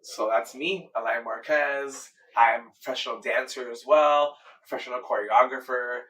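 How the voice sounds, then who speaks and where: An earnest man in his thirties from Miami talks about his work with easy enthusiasm and often circles back on his own words. His voice sits at a middle pitch. He is caught from a little way off the mic. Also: fairly even intonation, normal speed, fairly bright tone, recorded from a distance, rough recording. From Miami, Florida, USA. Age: 30s. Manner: earnest, enthusiastic, repeats himself. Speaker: dancer and choreographer, guest. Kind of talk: casual interview answers.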